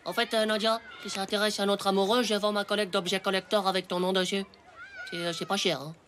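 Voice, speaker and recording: A woman's voice: medium pitch, level tone, fast talker, a bit bright, a little hiss or hum, close to an everyday voice. A woman voicing a hapless, indignant ten-year-old schoolboy in a cartoon, with a hesitant, whiny, stumbling delivery. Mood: flustered, whining. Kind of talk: French animated series dialogue.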